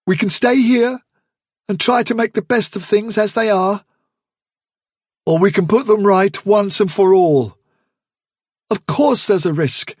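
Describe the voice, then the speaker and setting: A man voicing a young male rabbit leader who reasons calmly and persuasively with the others. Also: sits high, husky, dry recording, studio quality, voice pushed up. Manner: earnest, reasoning, steady.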